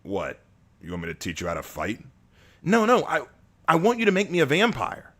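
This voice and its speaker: A man's voice: on the high side, wildly swooping pitch, normal speed, a bit bright, pitched above the performer's own voice, exaggerated voice. A man doing a stammering, timid young man. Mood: nervous. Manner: hesitant, stammering. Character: timid young human man, insecure and earnest.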